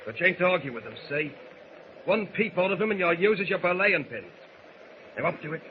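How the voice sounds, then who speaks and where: An actor playing a bossy, ruthless ringleader of a ship's mutiny in a radio serial, barking threatening orders. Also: male voice, high voice, sing-song, fairly quick. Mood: commanding. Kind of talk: 1940s radio adventure acting. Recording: muffled old radio recording, clear hiss.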